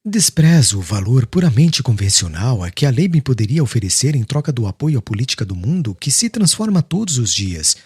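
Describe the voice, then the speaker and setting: A man voicing a humble, devout preacher on trial in an audiobook, speaking in a firm, measured, formal way. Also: mid-range voice, big pitch swings, a little mellow, slightly rough, no echo, studio quality. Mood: resolute. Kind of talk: audiobook character acting, formal speech.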